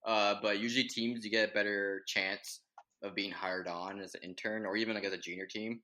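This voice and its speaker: A reserved young man who speaks in an even monotone. His voice sits fairly low yet sounds bright and forceful. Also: a little fast, slight background noise. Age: young adult. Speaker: guest. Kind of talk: casual podcast chat.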